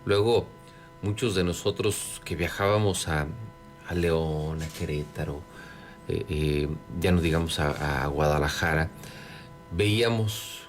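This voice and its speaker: A Mexican man with a very deep voice answers an interviewer as a local official. He speaks conversationally and haltingly, searching for the right words. Soft music plays faintly underneath. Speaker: city government secretary being interviewed.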